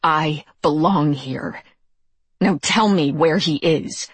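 A woman's voice: deep voice, slow pace, slightly rough, no echo, full character voice. A woman voicing a guarded, defiant teenage girl with terse, firm, challenging delivery.

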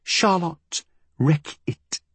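A man voicing a weary, reserved gentleman crook in an audiobook, speaking in a clipped, guarded, resigned way. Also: on the high side, lively intonation, moderate pace, husky, no room sound, pitched above the performer's own voice.

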